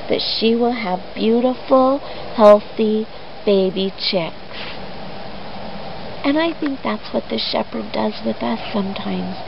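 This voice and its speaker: A woman retelling a story for children in an expressive, deliberate way with dramatic pauses. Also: medium pitch, vocal fry. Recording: dull lo-fi recording with background noise.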